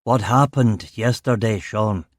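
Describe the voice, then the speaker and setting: This is a man acting a male chief inspector in a crime audiobook. He sounds grave, terse and worried, a little low in pitch, with a very dry close sound. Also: slow pace, no room sound. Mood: pressing. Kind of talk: audiobook character dialogue.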